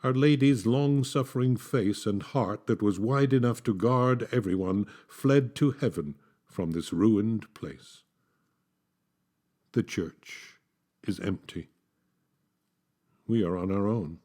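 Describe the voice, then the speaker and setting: A man reciting poetry as an actor, in a solemn, measured, dramatic delivery. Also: fairly low pitch, dark tone.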